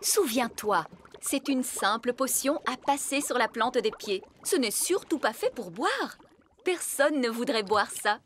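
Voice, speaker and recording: A woman voicing a brisk, self-assured and scatterbrained fairy nanny in a French cartoon dub. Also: fairly high pitch, animated, a little hiss or hum. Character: bossy, scatterbrained fairy nanny who casts spells. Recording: music bed behind the voice.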